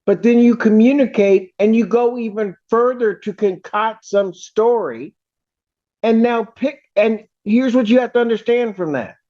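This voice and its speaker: Stern, angry judge in a dull recording. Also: female voice, a little high, a little slow, warm tone. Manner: scolding, blunt, emphatic. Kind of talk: stern courtroom address. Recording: dull, lo-fi courtroom audio.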